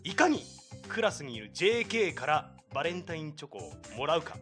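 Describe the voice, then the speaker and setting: A man hosting a radio show with casual, joking banter, reading listener mail aloud. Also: fairly quick. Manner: animated, joking. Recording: low-quality livestream audio over background music. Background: background music.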